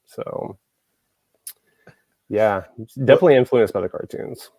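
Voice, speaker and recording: A man's voice: on the low side, lively intonation, unhurried, warm tone, dry recording. A man from Texas, hosting a show and chatting casually, rambling with lots of fillers and restarts. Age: adult, grew up in the 1980s. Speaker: show host. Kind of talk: casual podcast chat.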